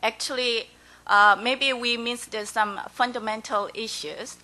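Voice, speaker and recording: Korean-accented female panelist, halting delivery, fairly high voice with a bright edge. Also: fairly even intonation, rough recording. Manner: halting, full of hesitations. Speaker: forum panelist. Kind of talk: conference panel remarks.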